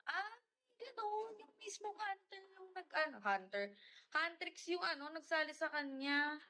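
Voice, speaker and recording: A woman's voice: very high voice, animated, a little slow, bright, forceful tone. A young woman, live-streaming and chatting casually, greeting viewers by name and mixing Tagalog with English. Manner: mixes Tagalog and English mid-sentence. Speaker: livestreamer.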